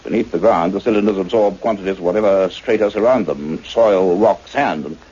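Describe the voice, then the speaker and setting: Casting: methodical doctor of science, radio play lead and narrator. Lowish, formal, exact delivery; dull-sounding tape. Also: male voice, a little mellow, husky. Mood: measured explaining. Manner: formal, measured, precise. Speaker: actor playing the lead and narrator. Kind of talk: radio drama acting and scripted narration. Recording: muffled radio recording with faint noise.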